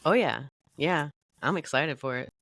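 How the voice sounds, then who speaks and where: A woman's voice: sits low, normal speed, a bit bright, no echo. A woman hosting a podcast in casual chat, informal and agreeable. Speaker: podcast host.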